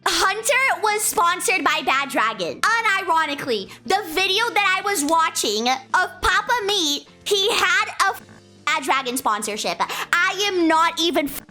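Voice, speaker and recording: A woman's voice: extremely high pitch, very animated, measured pace, bright, forceful tone, soft background music. A young woman streamer giving casual, rambling reaction commentary, swearing and restarting often. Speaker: VTuber streamer reacting to a video.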